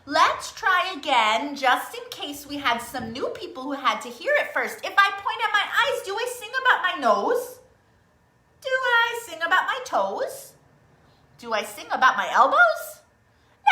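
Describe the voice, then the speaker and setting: A woman's voice: very high voice, sharp tone. A woman leading a children's storytime in an encouraging, coaxing manner, putting simple questions and instructions to young listeners. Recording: roomy, echoey room sound.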